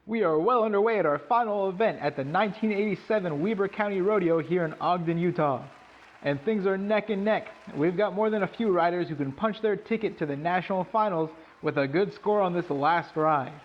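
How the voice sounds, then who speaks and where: Guy acting as 80s rodeo commentator, high voice, dramatic, slightly muffled broadcast. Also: fairly quick, natural voice. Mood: excited, suspenseful. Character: keyed-up male rodeo commentator building suspense. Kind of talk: 1980s sports commentary acting.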